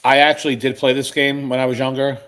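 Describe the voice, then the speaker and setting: Mid-pitched man in casual chat. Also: level tone, fast talker, fairly bright tone, clear, resonant tone. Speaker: podcast panelist.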